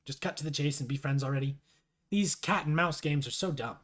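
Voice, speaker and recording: A man's voice: on the high side, fairly quick, a bit bright. A man voicing a playful, casual teenage boy from a webcomic, sarcastic and rambling. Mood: casual, teasing.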